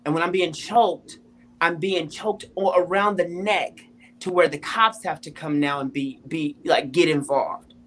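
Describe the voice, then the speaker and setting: A woman's voice: fairly low pitch, unhurried, a bit bright, a little hiss or hum. A woman in her thirties telling her personal testimony in an interview, emphatic and repeating phrases for weight. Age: 30s. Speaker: interview guest.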